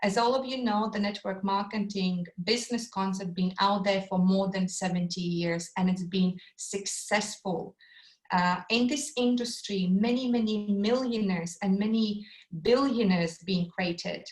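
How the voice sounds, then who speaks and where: A woman with a Latvian accent gives an eager online sales talk, her lines full of um and uh. Her voice sits at an ordinary middle pitch. She is heard over a video call from a room with noticeable echo. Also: steady tone, unhurried. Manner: enthusiastic, with frequent um and uh fillers. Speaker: webinar presenter.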